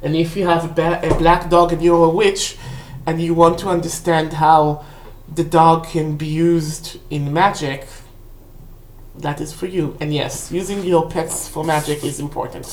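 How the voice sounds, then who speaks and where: A woman, a native Hebrew speaker, casually rambling through a book review for a vlog in a halting, self-correcting way. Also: low voice, level tone, a little hiss or hum. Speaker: book haul vlog host. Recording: roomy, echoey indoor sound.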